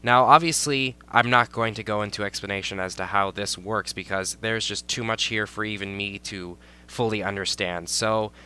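A man's voice: on the low side, normal speed, few pauses, sharp tone, clear, resonant tone. A young man giving a step-by-step game building tutorial as a voice-over, his voice a little off from a recent cold. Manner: step-by-step instructional, repeats "you're gonna wanna". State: recovering from a cold. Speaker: tutorial creator.

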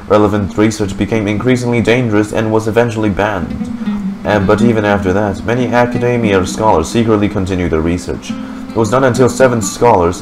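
A young man with a fairly low voice streams a game. He reads on-screen text aloud with casual reactions, close to the mic, with clear music playing behind. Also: rough recording. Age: young adult. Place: indoor room. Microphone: desk microphone on boom arm, close.

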